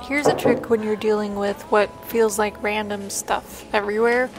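A woman's voice: mid-range voice, steady tone, normal speed. A woman chatting casually in an upbeat way as she narrates a home vlog. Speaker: vlogger, host. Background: music.

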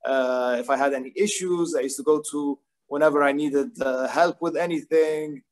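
A man's voice: on the high side, fairly even intonation, normal speed. A man in his forties giving an informal personal remembrance on a video call, with hesitant, thoughtful phrasing. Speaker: guest, grandson giving remembrance. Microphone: video call device microphone.

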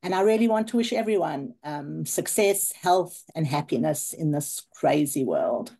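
Low, mellow South African woman in her fifties. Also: lively intonation, normal speed, vocal fry, slightly rough. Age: 50s. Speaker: class reunion participant. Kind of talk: personal life update, partly prepared. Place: video call.

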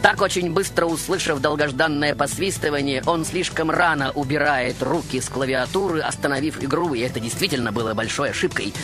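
A man playing a proud, stubborn baroque composer, telling his story as a theatrical radio monologue with rhetorical pauses. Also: on the high side, over music, natural voice. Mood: playful, confiding. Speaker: radio show host in character. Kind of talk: dramatic first-person radio monologue.

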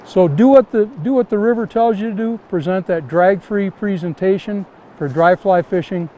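A man's voice: high voice, normal speed, dark tone, a little hiss or hum. An older man teaching fly casting outdoors while standing in a river, giving plain, repetitive step-by-step instructions.

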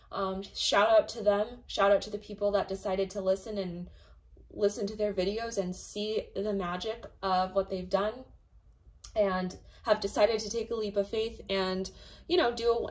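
A woman with a slightly raspy voice, casually chatting through a tarot reading as the host of her own channel, full of fillers and emphatic asides. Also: medium pitch.

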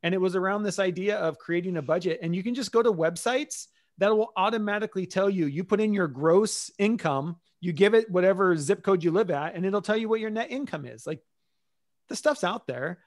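Emphatic man in his forties, highish voice. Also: fairly quick. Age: 40s. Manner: emphatic, posing rhetorical questions. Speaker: host. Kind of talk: casual discussion. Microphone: desk microphone on a boom, video call.

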